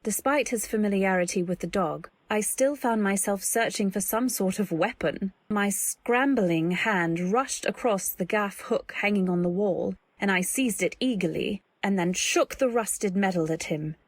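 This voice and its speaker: Woman acting a husky-voiced, timid young wife narrating. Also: mid-range voice, no room sound. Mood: alarmed. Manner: measured, literary, dramatic storytelling. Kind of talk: old-time radio drama narration.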